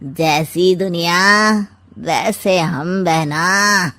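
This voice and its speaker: A woman voicing a scheming, mocking middle-aged uncle in a comic animated story, with a sly, theatrical drawl. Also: fairly low pitch, drawn-out words, heavily acted.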